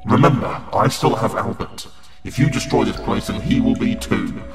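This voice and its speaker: A man's voice: on the low side, moderate pace, vocal fry. A man playing a cruel, gloating creature of limbo in an audio horror drama, with a menacing, mocking and theatrical manner. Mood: threatening. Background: loud dramatic music.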